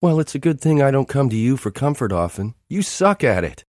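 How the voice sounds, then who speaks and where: A man voicing a tearful, bitter young woman with a high, strained voice close to tears. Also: very animated, fairly quick, darkish tone, dry recording, close to an everyday voice. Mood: exasperated.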